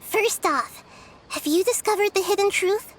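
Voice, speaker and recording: A woman voicing a small, girlish deity in a video game, a wise and gently playful teacher with a measured, explanatory way of talking. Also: very high voice, slight background noise. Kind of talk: video game cutscene acting.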